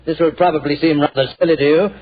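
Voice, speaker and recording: A husky, gushing stage actor. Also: male voice, a little high, fairly even intonation, fast talker. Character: vain, effusive middle-aged male stage actor. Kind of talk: 1940s radio acting. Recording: dull old radio recording.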